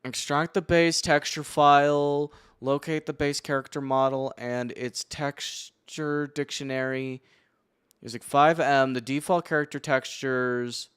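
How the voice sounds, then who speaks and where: Slow-talking profane male streamer. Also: medium pitch, a bit bright, clean tone. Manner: profane, muttering to himself while working. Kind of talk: casual live-stream commentary.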